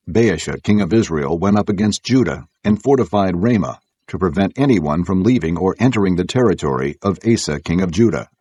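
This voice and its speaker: A man with a deep voice narrates scripture from a script. His delivery is measured and formal, with a slightly rough grain and very little room sound. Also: a little mellow, dry recording. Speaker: audiobook narrator.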